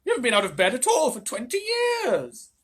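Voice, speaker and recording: A man voicing a shrill, fretful old grandmother in a storybook reading, crying out in a high, wailing voice. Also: wildly swooping pitch, fairly quick, fairly bright tone, full character voice. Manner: wailing, high-pitched cries.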